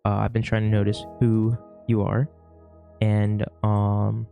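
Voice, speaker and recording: A sick young man with a worn, gravelly voice, rambling casually through a vlog with lots of fillers and restarts. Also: fairly low pitch, monotone, slow, warm tone, soft background music. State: sick, losing his voice. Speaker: vlogger; shift manager at work. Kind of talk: casual talking-to-camera vlog.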